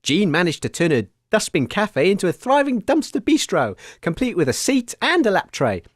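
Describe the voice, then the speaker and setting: A man hosting a scripted comedy radio show, chirpy and quick to laugh. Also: high voice, huge pitch swings, dry recording, clean studio sound.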